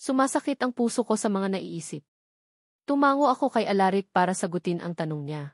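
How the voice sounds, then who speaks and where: A woman's voice: mid-range voice, animated, fairly quick, no echo. Filipino woman narrating, dry close sound. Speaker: audiobook narrator. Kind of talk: scripted first-person romance novel narration.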